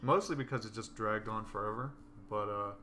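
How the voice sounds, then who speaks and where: A man with a raspy, hoarse voice chatting casually and dryly. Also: on the low side, a little fast, sharp tone, slight background noise. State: hoarse from coughing. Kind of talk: casual chat.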